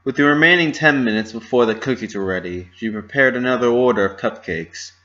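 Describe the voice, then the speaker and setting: A man's voice: medium pitch, brisk, bright, forceful tone, highs cut off. A man narrating a story as a scripted dramatic reading.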